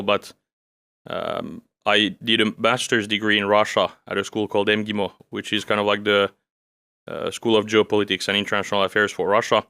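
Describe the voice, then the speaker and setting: A young man from Finland, raised in France, talks through his background in an unhurried, measured way. His voice sits low and stays fairly flat, with a bright, clean tone. The recording is dry and close, with no room sound. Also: smooth, no echo. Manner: calm, measured, with frequent fillers. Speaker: guest, OSINT analyst. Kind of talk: casual interview conversation.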